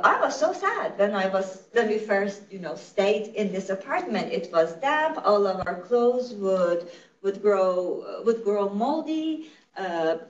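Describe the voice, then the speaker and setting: Woman, Farsi speaker, earnest conversational lecturer, heard from afar in an echoey room, muffled audio. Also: mid-range voice. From Iran. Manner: earnest, explanatory, frequent self-corrections. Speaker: literacy program director giving a presentation. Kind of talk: conversational lecture to medical audience. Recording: echoey, distant, dull-sounding room recording.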